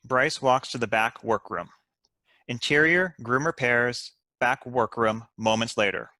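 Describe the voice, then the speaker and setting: Guy reading screenplay directions, bright punchy mid voice, no room echo. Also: medium pitch, measured pace, clean studio sound. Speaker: stage-direction narrator. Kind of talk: scripted narration at a comedy table read.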